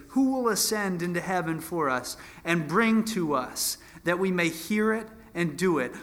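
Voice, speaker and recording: A man's voice: high-pitched, fairly bright tone. A man in his twenties teaching from the Bible in an informal sermon, conversational and self-deprecating, often restarting his phrases. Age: 20s. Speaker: preacher.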